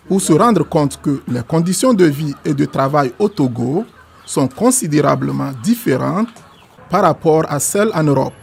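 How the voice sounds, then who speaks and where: A man reading a scripted documentary narration in a gravelly voice. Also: a little high, darkish tone. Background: music.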